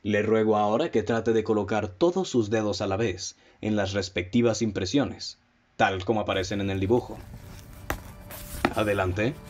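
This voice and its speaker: A man with a Mexican accent playing a composed, analytical detective in a radio play, speaking formally and explaining with measured confidence. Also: on the low side, a little mellow, a little hiss or hum, close to an everyday voice. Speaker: amateur radio drama actor. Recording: dull lo-fi audio with music bed.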